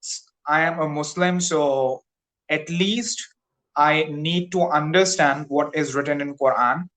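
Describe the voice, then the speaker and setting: A man's voice: a little high, a little slow, a bit bright, poor audio. A Pakistani man whose first language is Urdu, an English teacher talking carefully with fillers on a tutoring call. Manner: careful, hesitant, many fillers. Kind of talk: casual conversation practice. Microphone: video call.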